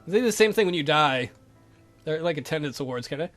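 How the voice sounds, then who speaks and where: Guy doing a lets play, reads stuff out then cracks crude jokes, bright voice, music behind. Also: on the high side, lively intonation, quick pace. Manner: crude, irreverent. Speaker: let's play streamer. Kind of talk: reading a visual novel aloud with joking asides.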